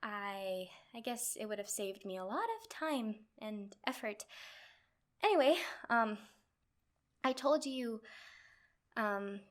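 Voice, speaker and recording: A woman playing a nervous, lovestruck and clingy yandere girlfriend in a comedic roleplay, halting and rambling as she talks. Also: a little high, a bit bright. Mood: flustered, lovestruck.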